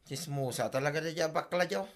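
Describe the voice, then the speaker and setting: A man's voice: on the high side, a little fast, lightly acted. A man acting a sulky young husband whose wife left him, complaining to his mother and friend. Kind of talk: amateur comedy drama acting.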